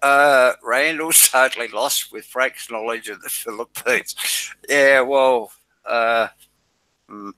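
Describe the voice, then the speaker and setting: A blunt man with a bright, forceful voice, mocking and swearing as he chats. The sound is dry and close. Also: mid-range voice, takes it slowly, no room sound, rough recording. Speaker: channel host. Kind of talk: casual chat on a live show.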